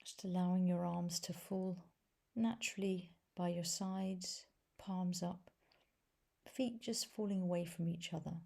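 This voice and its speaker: A woman leading a guided meditation as a teacher, speaking softly and gently in a breathy voice with long pauses between phrases. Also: on the low side, steady tone. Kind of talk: guided body scan meditation.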